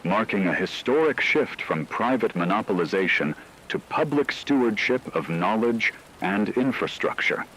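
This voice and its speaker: A man's voice: lowish voice, lively intonation, normal speed, a bit bright, a little hiss or hum, poor audio. A man reading a scripted, dramatized radio news report as an anchor, formal and grave.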